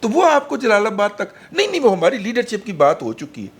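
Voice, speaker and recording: A man's voice: sits high, huge pitch swings, brisk, breathy edge. A man on a political talk panel, telling a personal story with rising animation. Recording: faint background noise.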